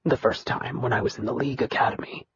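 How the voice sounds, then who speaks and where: A narrator voicing a bitter young man from a royal family who speaks in a low, level, emotionless tone. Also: steady tone, quick pace, slightly rough, dull audio. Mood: cold, bitter. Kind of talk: audiobook character reading.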